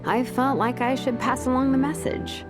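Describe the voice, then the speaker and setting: An actress playing a confident vice captain and teacher in a video game, speaking casually, diplomatically and persuasively. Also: female voice, high-pitched, fairly even intonation, normal speed, music in the background, natural voice. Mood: tactful, firm.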